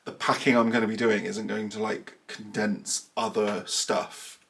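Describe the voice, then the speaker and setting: Southern English man for a home craft vlog: rambling, self-deprecating chat, slightly nasal and bunged up, fairly low voice, faint hiss behind. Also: flat delivery, unhurried, vocal fry, fairly bright tone. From southern England. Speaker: knitting vlogger. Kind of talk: casual vlog chat to camera. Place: small cluttered room at home, indoors. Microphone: camera mic.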